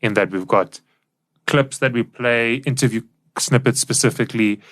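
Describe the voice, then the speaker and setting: A man's voice: mid-range voice, moderate pace, a bit bright. A South African man co-hosting a podcast, explaining things in a casual, informal discussion style on a studio microphone. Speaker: podcast co-host. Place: professional recording studio.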